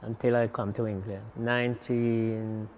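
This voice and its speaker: A man raised in Jamaica, introducing himself in a halting way with slow pauses. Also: fairly low pitch, little pitch movement, a little mellow, a little hiss or hum. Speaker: interviewee. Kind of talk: interview introduction.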